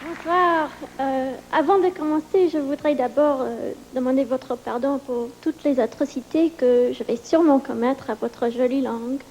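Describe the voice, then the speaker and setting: A woman doing stand-up comedy in a second language, whose first language is English, pausing often with fillers, on a theater stage before a live audience. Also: high-pitched, steady tone, background noise. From United States. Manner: hesitant, with many pauses and fillers.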